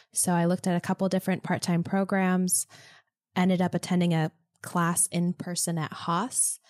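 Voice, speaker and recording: Low-voiced woman, dry close sound. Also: a little mellow, no echo. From upstate New York. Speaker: part-time MBA student guest. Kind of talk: casual interview answers.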